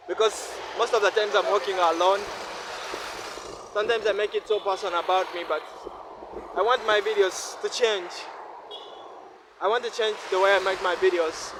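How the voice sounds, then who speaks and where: A man from Uganda whose first language is Luganda, talking in an earnest, defiant and repetitive vlog monologue while filming on a city street. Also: sits high. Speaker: vlogger. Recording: street noise behind.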